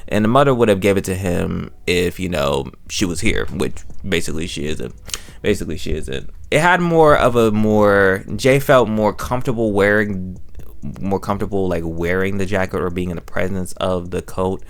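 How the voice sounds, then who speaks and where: A man's voice: low voice, lively intonation, moderate pace, creaky. A man hosting a casual, rambling recap and reaction, emotive and slangy, often repeating phrases for emphasis. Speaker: host reviewing an audio drama.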